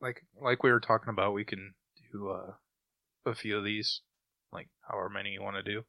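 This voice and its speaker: A man's voice: lowish voice, fairly even intonation, a little fast, dry recording, highs cut off. A middle-aged man co-hosting a podcast in casual, conversational chat. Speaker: podcast co-host.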